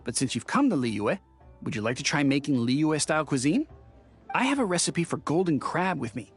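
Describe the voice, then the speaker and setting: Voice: medium pitch, sing-song, moderate pace, breathy edge, soft background music. An actor playing a polite, affable innkeeper in an anime-style video game, courteous, chatty and helpful. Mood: friendly, helpful. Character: polite, affable innkeeper offering cooking advice.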